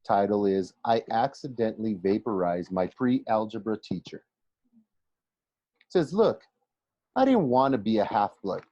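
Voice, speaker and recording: A man reads a first-person story aloud in an expressive, conversational way, with dramatic emphasis and pauses. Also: lowish voice. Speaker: teacher reading a novel aloud for a class. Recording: roomy, slightly dull home recording.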